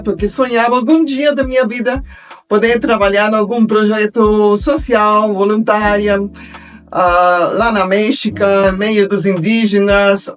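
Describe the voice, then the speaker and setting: An older woman from Belgium speaking with a foreign accent as an interview guest, telling her story haltingly in a learned language. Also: medium pitch. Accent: foreign accent in Portuguese, from Belgium. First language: a language of Belgium, not Portuguese. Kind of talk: personal storytelling in a podcast interview.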